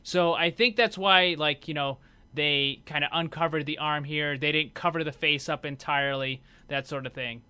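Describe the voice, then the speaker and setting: Guy hosting a gaming vid, chatting casually, bright forward voice a bit high, noisy background. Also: fairly even intonation, moderate pace. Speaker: gaming video host. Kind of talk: casual unscripted game commentary. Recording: clear background noise.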